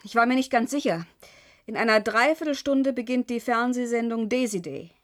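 A woman acting a defiant eighteen-year-old girl in a radio play. Her voice sits fairly high, and she speaks with urgent insistence, pushing back at whoever she talks to. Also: moderate pace, lightly acted. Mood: defiant, probing.

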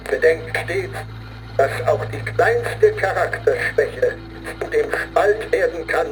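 An actor playing a grim zealot who recites a training manual deliberately, like an incantation, in a radio play. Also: male voice, fairly high pitch, rough recording. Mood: solemn, ominous. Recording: studio radio play with music underneath. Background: music.

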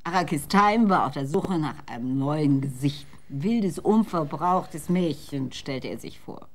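A woman's voice: low-pitched, animated, unhurried. An actress playing a bitter, drunken woman who curses scornfully in a radio drama. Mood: wistful. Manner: cursing, scornful, breaks into tears. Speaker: voice actor.

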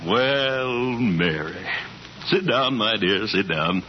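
A man's voice: lowish voice, animated, slow, a loud crowd. An actor playing a hearty, sentimental, fatherly older newspaper boss in a 1940s radio comedy. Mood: jovial. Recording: muffled, noisy old radio broadcast.

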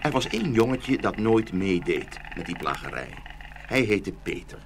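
A man's voice: lowish voice, big pitch swings, normal speed, slight background noise. A man narrating a scripted fairy tale for children as the storyteller of a radio play.

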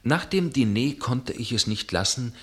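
An actor playing an inquisitive, suspicious gentleman narrator in a radio play, speaking in a measured, formal way. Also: mid-range voice, animated, natural voice. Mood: grave, reflective. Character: inquisitive gentleman narrator, suspicious dinner guest with probing temper.

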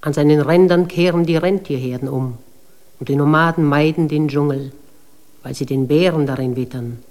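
An actress playing a proud, wild river spirit, reciting a lyrical, solemn monologue in a poetic radio play. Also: female voice, sits low, normal speed, a little mellow, close to an everyday voice. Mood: solemn, flowing. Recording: noisy recording with ambient sound.

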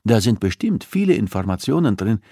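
A man's voice: on the low side, sing-song, a little fast, mellow, dry recording, clean studio sound. A man narrating an audiobook, voicing a coolly persuasive, threatening female criminal who interrogates with insistence and condescension.

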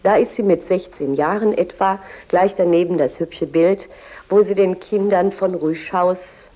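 A woman's voice: on the low side, measured pace, dark tone. A woman as a tour guide, explaining a poet's house in a chatty, informative way. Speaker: museum guide.

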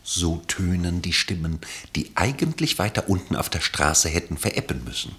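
A man narrating a radio play from a literary script in a measured, dryly ironic way. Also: low-pitched, expressive, fairly bright tone.

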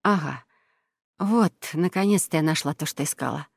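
A woman voicing a playful, thoughtful, gentle mother in a children's storybook. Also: low-pitched, normal speed, gravelly, breathy edge, dry recording, clean studio sound, exaggerated voice. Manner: musing, playful. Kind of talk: children's audio storybook character voice.

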